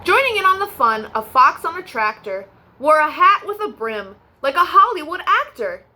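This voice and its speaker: A woman hosting a children's storytime, reading a rhyming picture book aloud in an expressive, sing-song way. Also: high-pitched, normal speed, poor audio.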